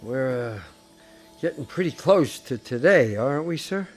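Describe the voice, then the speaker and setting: A naive apprentice angel, voiced by a man in a radio play. He speaks slowly and hesitantly, with a lively, wondering lilt, over faint music. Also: mid-range voice, natural voice. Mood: curious. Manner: wide-eyed, hesitant.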